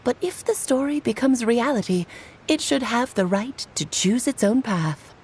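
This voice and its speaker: Elegant game witch, played by a woman: fairly high, lively melodic delivery, playful and knowing. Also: normal speed, a little hiss or hum, close to an everyday voice. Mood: gracious. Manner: teasing, poised, theatrical. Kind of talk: video game character acting.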